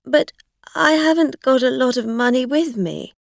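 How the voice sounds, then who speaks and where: A woman's voice: medium pitch, big pitch swings, very slow, gravelly, darkish tone, no room sound, clean studio sound, exaggerated voice. A woman voicing a tipsy, frightened woman driver in an audio story, hesitant, stammering and pleading. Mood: afraid, pleading. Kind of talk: acted dialogue in an audio story.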